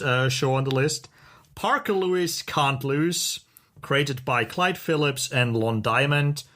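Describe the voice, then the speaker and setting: A middle-aged man co-hosting a podcast, chatting casually and enthusiastically with a halting delivery. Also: mid-range voice, fairly bright tone. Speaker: podcast co-host. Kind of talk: casual chat about TV shows.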